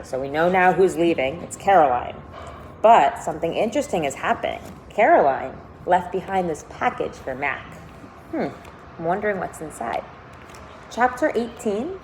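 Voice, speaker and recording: Expressive woman teacher reading aloud, noisy background. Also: low-pitched. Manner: deliberate, questioning, stressing key words for young listeners. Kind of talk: expressive read-aloud of a children's novel with think-aloud comments.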